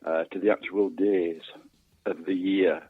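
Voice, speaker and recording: A man's voice: sits low, fairly even intonation, a little slow, husky. An older man from West Yorkshire, an author, talking casually and hesitantly as a phone guest in an interview. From West Yorkshire, England. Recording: echoey, tinny phone line.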